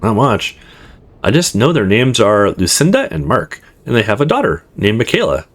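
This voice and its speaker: A man's voice: medium pitch, big pitch swings, normal speed. A man voicing a slightly odd, loyal young male gamer friend, laid-back and blunt, in a visual novel.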